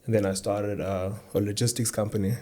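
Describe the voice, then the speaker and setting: A young man from South Africa, a guest telling his story in a relaxed, casual interview, laughing easily and repeating himself. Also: lowish voice, a little fast. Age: young adult. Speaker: guest, trader.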